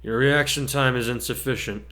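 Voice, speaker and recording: A weary male teacher mumbles in a flat, curt way, acted out by a man reading aloud. He is a scruffy, stern figure from a fan story who sounds thoroughly disinterested. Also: medium pitch, normal speed, natural voice. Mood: bored, stern.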